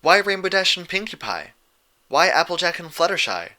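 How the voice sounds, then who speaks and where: A man's voice: high voice, big pitch swings, normal speed, sharp tone, pitched above the performer's own voice, heavily acted. A man voicing an earnest, bookish young female unicorn pony, questioning and precise, in a story reading. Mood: puzzled.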